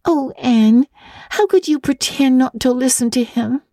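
A woman's voice: high-pitched, measured pace, dark tone, dry recording, clean studio sound, pitched above the performer's own voice. A woman voicing a chatty, good-natured young girl in a storybook, with a chirpy, girlish manner. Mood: teasing.

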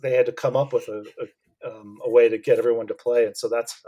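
A man co-hosting a casual talk podcast with a middle-pitched voice. He explains things at length, stopping and restarting with plenty of fillers. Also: flat delivery, fast, dark tone. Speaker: podcast co-host. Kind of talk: casual chat.

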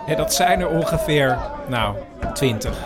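A man's voice: on the high side, lively intonation, unhurried. A man narrating an audio report as podcast host, in a wry, hesitant storytelling way. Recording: outdoor crowd and music behind. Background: football crowd, music.

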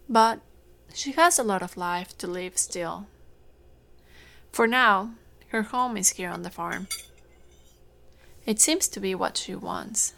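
A woman's voice: medium pitch, creaky, fairly bright tone. A woman playing a caring, strong-minded mother on a farm in an audio drama.

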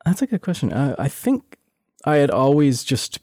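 A man being interviewed, talking casually and hesitantly with many pauses and restarts. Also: fairly high pitch, big pitch swings, mellow, no echo, studio quality. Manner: hesitant, lots of uhs and restarts. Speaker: interview guest, sound designer.

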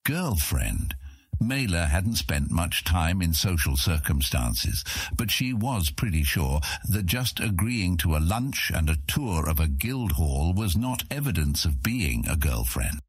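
A man's voice: low voice, very animated, unhurried, slightly rough, no room sound. A man reading a fantasy audiobook as its scripted narrator.

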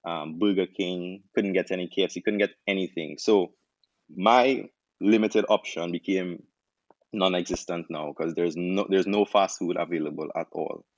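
A young man with a Trinidadian accent, a doctor turned chef, telling his story in a relaxed interview with many restarts. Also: deep voice, normal speed, no room sound, lo-fi sound. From Trinidad and Tobago. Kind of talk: casual interview answers.

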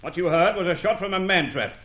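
A suspicious town councillor in an old radio drama. The voice is sharp and forceful, a little high for a man, giving curt, threatening commands. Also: expressive, fairly quick, highs cut off. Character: suspicious, threatening male town councillor guarding his house. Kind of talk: old radio serial acting.

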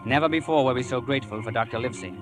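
Man as earnest adventure narrator over loud music. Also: mid-range voice, racing, close to an everyday voice. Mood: solemn. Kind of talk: dramatic scripted narration, 1950s radio. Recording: old radio, loud music behind.

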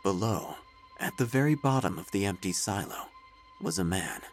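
An actor playing a wry, nosy small-town narrator, delivering formal, theatrical, deadpan comic audio drama narration over music. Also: male voice, lowish voice, moderate pace, close to an everyday voice. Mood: wry, solemn.

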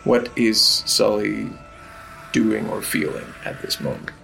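A man narrating an improvised tabletop game as game master, pausing often mid-sentence. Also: fairly low pitch, expressive, gravelly, sharp tone, soft background music, rough recording.

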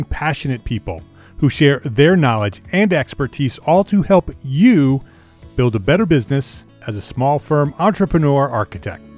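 A man's voice: fairly high pitch, a little mellow. A man working as a podcast host, delivering scripted introductions and interview questions with an emphatic, promotional manner.

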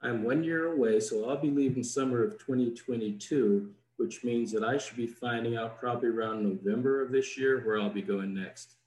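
A man, a diplomat guest, answering questions in a plain, measured, explanatory way over a video call. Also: medium pitch, a little mellow, a little hiss or hum. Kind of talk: informative answers in an interview. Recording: roomy echo.

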